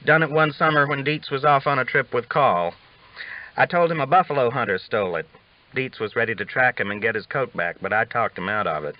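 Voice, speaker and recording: A teasing old Texas cowboy played by a male audiobook reader who loves to talk. His speech is folksy and pitched up from a low narrating voice, on a slightly muffled recording. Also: fairly quick, natural voice. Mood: teasing, animated.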